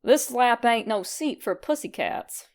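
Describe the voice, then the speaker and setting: A woman voicing a gruff, smug, flirty male skeleton monster who talks in slangy tough-guy phrasing, in a storybook reading. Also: on the high side, lively intonation, measured pace. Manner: slangy tough-guy drawl with dropped grammar.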